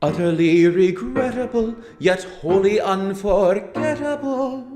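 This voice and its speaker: A woman's voice: medium pitch, slow pace, darkish tone, roomy sound, soft background music, lightly acted. A woman performing in a musical theatre style, half singing her scripted lines.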